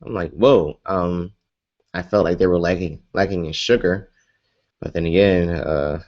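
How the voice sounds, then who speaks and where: A man's voice: deep voice, measured pace, muffled recording. A young American man chatting casually in a live Q&A vlog, rambling with many fillers and restarts. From United States. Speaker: vlogger.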